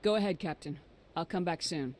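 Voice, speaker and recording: A woman's voice: on the low side, fairly quick, darkish tone, close to an everyday voice. A woman voicing a composed, assured military commander in a video game, direct and purposeful.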